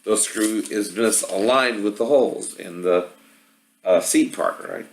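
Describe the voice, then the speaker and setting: A man's voice: on the low side, measured pace. A man giving a casual, rambling product walkthrough, often tagging his statements with a questioning "right?". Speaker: vlogger showing product assembly problems. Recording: roomy, echoey home-video sound.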